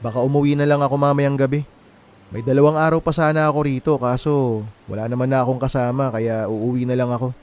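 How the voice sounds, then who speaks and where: An actor playing a heartbroken, wronged young boyfriend in a Filipino radio drama, with a plaintive, confiding manner and a Filipino accent. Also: male voice, mid-range voice, steady tone, fast, darkish tone, natural voice. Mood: resigned. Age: young adult. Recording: music playing behind the voice.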